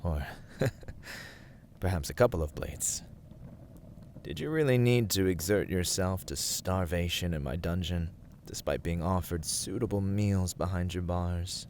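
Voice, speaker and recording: A man playing a smug young villain warlord in an ASMR roleplay, teasing and condescending in formal, deliberate phrases. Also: deep voice, darkish tone, a little hiss or hum. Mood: smug, chiding. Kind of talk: ASMR roleplay, scripted dramatic monologue.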